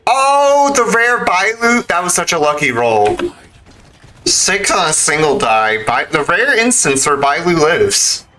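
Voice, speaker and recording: A man's voice: a little high, big pitch swings, unhurried, sharp tone. A man giving casual live gameplay commentary, exclaiming and mildly swearing at the game as he talks to it. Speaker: video game streamer. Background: video game music.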